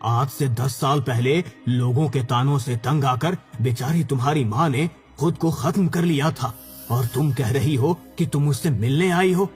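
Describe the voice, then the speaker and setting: Blunt, accusing elderly villager, acted by a male narrator for animated horror: mid-pitched, agitated scolding. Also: measured pace, natural voice. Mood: scolding, urgent. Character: suspicious, scolding old village uncle. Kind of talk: animated horror story acting. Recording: background music.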